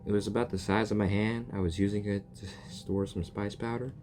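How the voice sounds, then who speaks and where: A man's voice: lowish voice, steady tone, brisk, darkish tone, soft background music. A man giving casual, jokey commentary while playing through a game story, talking back to the characters. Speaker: gamer reacting to a story quest.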